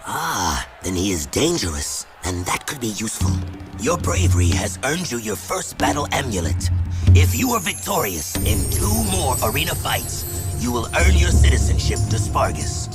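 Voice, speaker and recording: An actor playing a stern, commanding ruler of a desert city in a video game, speaking formally and deliberately. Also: male voice, medium pitch, sing-song, close to an everyday voice. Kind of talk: video game cutscene acting. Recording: loud music under game audio.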